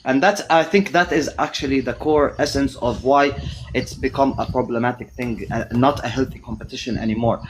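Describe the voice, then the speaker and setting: A man from Palestine with a voice of middling pitch talks politics in a casual online call. He speaks in a measured, thoughtful way, pausing often to gather his words. A faint hiss of background noise sits under his voice. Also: rough recording. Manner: measured, thoughtful, many pauses and fillers. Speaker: guest.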